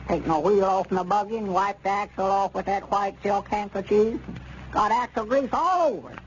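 A man's voice: high voice, a little fast, lightly acted. A man playing a gullible, defensive old country storekeeper with a rural Arkansas accent in radio comedy, folksy and flustered. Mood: indignant. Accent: Southern US, rural Arkansas. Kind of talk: 1930s radio comedy acting. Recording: muffled, noisy 1930s radio recording.

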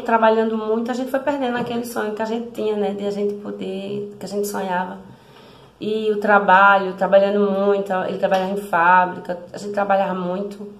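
Woman, heartfelt. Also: medium pitch. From Brazil, Recife. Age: 50s. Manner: heartfelt, chatty, self-correcting. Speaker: motorcycle travel vlogger. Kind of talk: personal story told to camera. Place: small indoor room at home. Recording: echoey room.

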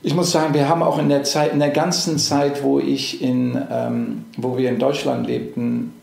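Gravelly-voiced man, hesitant and roomy. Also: mid-range voice, little pitch movement, normal speed, darkish tone. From England. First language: English. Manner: hesitant, many fillers and restarts. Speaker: actor, podcast guest. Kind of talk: casual interview chat.

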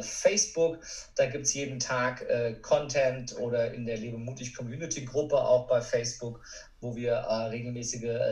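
Man in roomy, distant-mic interview. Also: medium pitch. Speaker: podcast guest, motivational coach. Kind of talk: conversational interview answers.

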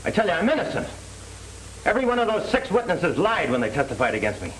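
An actor playing a wronged, earnest young American ex-convict in 1930s film style. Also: male voice, high-pitched, very animated, quick pace, a bit bright, low-quality recording. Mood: indignant, pleading. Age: young adult. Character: wronged young ex-convict, earnest, proud former football player. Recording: hissy 1930s film soundtrack.

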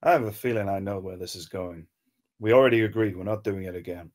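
Man playing a low-pitched, guarded small-time crook. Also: quick pace, darkish tone, close to an everyday voice. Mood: defensive. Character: defensive, stubborn male ex-office worker turned small-time crook. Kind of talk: amateur screenplay table read.

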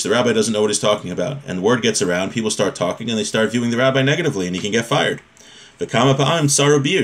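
Fast-talking man teaching informally. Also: medium pitch, fairly bright tone. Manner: conversational, often checks in with rhetorical questions. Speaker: religious teacher giving a lesson. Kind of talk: informal lecture, reading a text and explaining it. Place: small home room with bookshelf.

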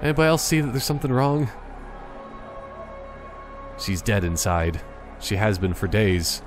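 A man's voice: lowish voice, sing-song, normal speed, music in the background. A man giving casual, joking gameplay commentary, rambling in a silly, mock-serious way.

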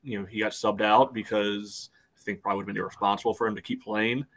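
A man's voice: fairly low pitch, fairly even intonation, fast, crowd noise. A man co-hosting a casual soccer podcast over a video call, peppering his speech with "you know". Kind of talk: casual sports discussion.

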